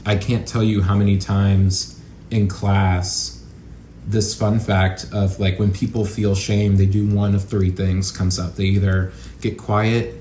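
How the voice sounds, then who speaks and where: A man's voice: lowish voice, flat delivery, slow, mellow. A man speaking as an interview guest in casual conversation, earnest and reflective, often saying "like". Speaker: guest, actor and acting educator. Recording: roomy echo, light background noise.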